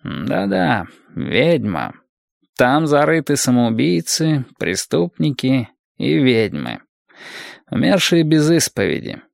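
Man playing a slow, velvety otherworldly guardian. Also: medium pitch, very animated, creaky, no echo, studio quality, full character voice. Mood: calm, thoughtful. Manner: measured, deliberate, formal. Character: calm, cultured, ancient male otherworldly guardian.

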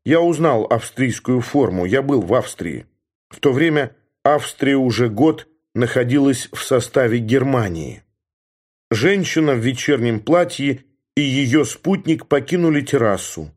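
A man giving a steady, measured first-person narration from a novel, in the manner of an audiobook reader. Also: mid-range voice.

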